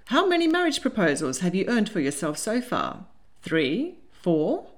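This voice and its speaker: A woman voicing a hearty, affectionate older gentleman lord in a storybook, jovial and teasing. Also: medium pitch, wildly swooping pitch, unhurried. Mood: sly teasing.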